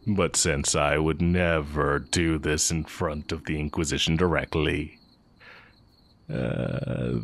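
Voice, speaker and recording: A man playing a sly, heretical magic teacher with secret longing, speaking in a measured, teasing way in a romantic audio roleplay. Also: a bass voice, fairly bright tone, full character voice. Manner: measured, deliberate, teasing delivery with drawn-out pauses.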